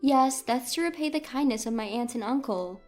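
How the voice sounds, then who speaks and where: A woman's voice: a little high, normal speed, a little mellow, soft background music. A woman reading a scripted first-person story as a dramatic narrator.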